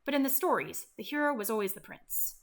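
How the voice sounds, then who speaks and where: A woman narrating a scripted read-along for children as an expressive storyteller. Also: a little high, brisk.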